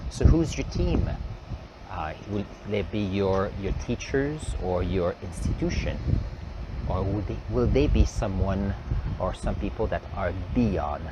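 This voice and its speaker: Guy doing a live stream, lowish voice, thinking out loud, traffic noise behind. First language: French. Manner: reflective, thinking aloud with fillers and restarts. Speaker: host.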